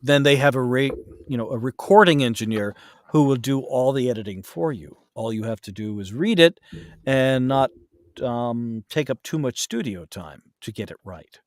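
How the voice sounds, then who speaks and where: A man's voice: mid-range voice, expressive, moderate pace, dry recording, studio quality. A man in a casual interview as a podcast guest, explaining things in a hesitant, self-correcting way. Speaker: podcast guest, voice actor and audiobook narrator.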